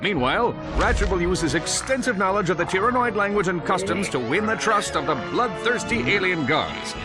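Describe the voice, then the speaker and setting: An officious game briefing character with a slightly high voice. He is formal and fussy, with very animated intonation, over clear background music. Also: brisk. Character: earnest male mission briefer, fussy presenter. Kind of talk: scripted mission briefing.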